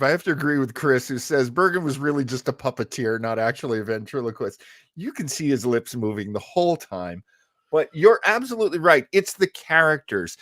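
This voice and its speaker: A man's voice: mid-range voice, bright, forceful tone, no room sound. Man hosting a chatty, explanatory discussion, dry sound. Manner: conversational, explanatory, thinking aloud. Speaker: host of an online radio-history show. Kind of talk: casual discussion and commentary.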